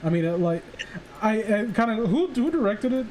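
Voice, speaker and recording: A man with a somewhat high-pitched voice hosts a casual livestream chat. He talks in a teasing, foul-mouthed way. A faint noise sits behind his voice on the group call. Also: very animated, a little fast, darkish tone, poor audio. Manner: profane, teasing. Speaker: streamer hosting a group call.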